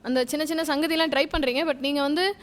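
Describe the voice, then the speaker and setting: A woman's voice: sits high, steady tone, fast. A woman judging auditions, giving critique sprinkled with English terms. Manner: code-switches into English terms. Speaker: singing contest judge. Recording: clearly noisy room ambience.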